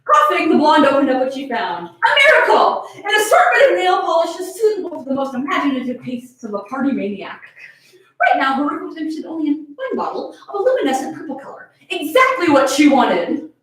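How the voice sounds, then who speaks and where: High-voiced woman reading dramatically, very echoey. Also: big pitch swings, brisk, fairly bright tone. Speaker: fan fiction reader. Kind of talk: dramatic, comedic story reading. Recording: very echoey room, far from mic.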